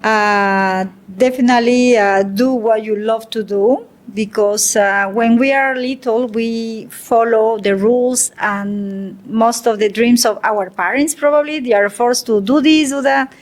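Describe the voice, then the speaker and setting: A woman's voice: on the high side, unhurried, few pauses. A woman, a guest artist talking reflectively in a casual interview, with many hesitant fillers. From Mexico. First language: Spanish.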